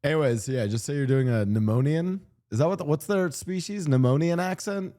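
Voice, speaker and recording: A man's voice: on the high side, fairly quick, dark tone. A man streaming live, talking casually to his chat in a rambling, joking way with many restarts. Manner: rambling, laughing, joking asides. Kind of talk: casual livestream commentary.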